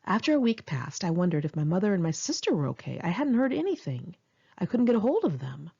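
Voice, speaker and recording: An American woman with a lowish, rough-edged voice narrates her own story. Her delivery is candid and dramatic, swinging freely in pitch like someone telling friends a tale. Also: big pitch swings, quick pace, mellow, dull audio. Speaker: author reading own memoir. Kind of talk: first-person audiobook narration.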